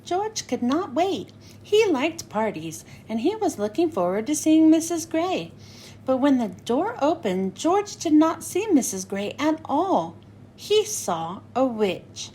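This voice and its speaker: A woman reading a picture book aloud for children, in an expressive, sing-song storytelling manner. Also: sits high, slow, a little hiss or hum.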